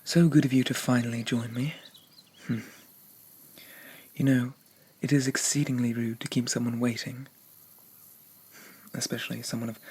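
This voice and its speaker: A man playing a haughty, possessive demon prince of dreams in an ASMR roleplay, speaking formally and pompously in a soft, often whispered voice. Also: mid-range voice, slightly rough. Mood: haughty, displeased. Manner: pompous, formal, archaic diction. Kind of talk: ASMR roleplay, addressing the listener.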